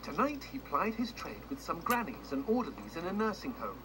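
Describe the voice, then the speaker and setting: An actor playing a wry, macabre storyteller who narrates a grim storybook tale in a video game, dramatic and tongue-in-cheek, heard through noisy TV audio. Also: male voice, fairly high pitch, huge pitch swings, brisk. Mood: ominous, wry.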